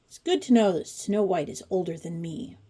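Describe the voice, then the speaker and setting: A woman's voice: lowish voice, expressive, moderate pace, warm tone, breathy edge. Chatty woman with wry asides. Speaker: craft channel host. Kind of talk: casual commentary on a pattern book flip-through.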